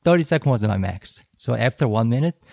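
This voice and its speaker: A man whose first language is Korean talks through ideas on a podcast with a gravelly, mellow voice. He explains at a measured, slightly halting pace, recorded dry and close to the mic. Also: mid-range voice, animated, dry recording. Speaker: podcast co-host. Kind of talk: casual educational discussion.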